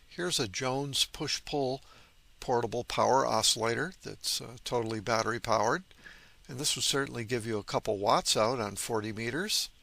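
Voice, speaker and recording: A man giving an informal technical explanation in a measured way, leaning on key words as he presents. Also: mid-range voice, creaky, bright, forceful tone. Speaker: presenter of a vintage ham radio channel.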